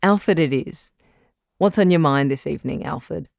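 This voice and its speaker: An actress playing a calm, reassuring doctor who hosts a radio advice show, measured and frank in an audio drama. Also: female voice, sits low, gravelly, no room sound, studio quality. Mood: welcoming, upbeat. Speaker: radio call-in host.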